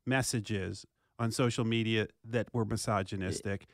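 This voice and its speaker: A man co-hosting a sports talk show, arguing his opinions forcefully and repeating phrases for emphasis. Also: fairly low pitch, fairly even intonation, normal speed, creaky, no echo, clean studio sound.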